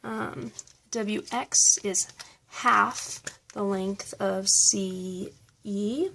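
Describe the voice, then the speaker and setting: Woman math teacher walking thru review problems, kinda hoarse, raspy low fry in her voice. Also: fairly even intonation, very slow, sharp tone, a little hiss or hum. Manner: patient, step-by-step explaining. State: slightly hoarse, losing her voice. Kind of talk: recorded math review lesson.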